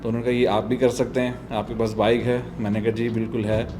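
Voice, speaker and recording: A man's voice: medium pitch, little pitch movement, fast, background noise. A middle-aged man with a southern Punjab Pakistani accent, telling his story conversationally as a guest in an interview. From Multan, South Punjab, Pakistan. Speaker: podcast guest, doctor and motorbike traveller.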